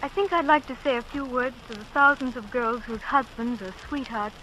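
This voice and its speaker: High-voiced sincere young bride. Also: female voice, moderate pace, sharp tone. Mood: sincere, tender. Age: young adult. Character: charming, sincere young bride, an aristocrat's granddaughter. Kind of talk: 1940s radio acting. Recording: faintly noisy 1940s radio recording.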